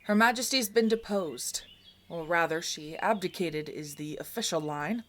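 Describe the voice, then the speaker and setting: A woman's voice: on the low side, moderate pace, slight background noise, lightly acted. A woman playing a loyal, urgent palace informant in a fantasy audio drama, speaking in an earnest, conspiratorial way. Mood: wry, guarded.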